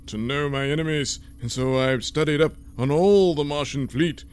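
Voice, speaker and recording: A man's voice: on the high side, moderate pace, darkish tone, clean tone, close to an everyday voice. A man playing a pompous, genteel, self-assured resistance member, speaking formally in a comic sci-fi audio serial. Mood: smug.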